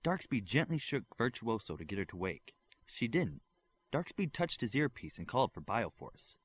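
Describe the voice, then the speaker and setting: Male narrator for fiction audiobook, mid-pitched, animated range, reading scripted prose. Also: normal speed, husky. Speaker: audiobook reader.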